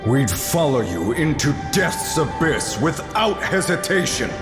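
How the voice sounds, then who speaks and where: Solemn, loyal ancient warrior, roomy. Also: male voice, on the high side, sing-song, measured pace. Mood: earnest, loyal. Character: loyal battle-hardened male warrior of ancient China, resolute. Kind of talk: video game cutscene acting. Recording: roomy sound with loud music behind.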